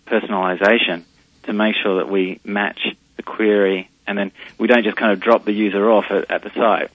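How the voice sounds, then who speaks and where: A deep-voiced Australian man answers questions down a muffled phone line, his voice husky and low. He talks in a hesitant way, full of "uh" and restarts. The line is dull, close and dry. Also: dry recording. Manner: hesitant, many fillers and restarts. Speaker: company CEO, interview guest. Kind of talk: phone interview on radio. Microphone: telephone line.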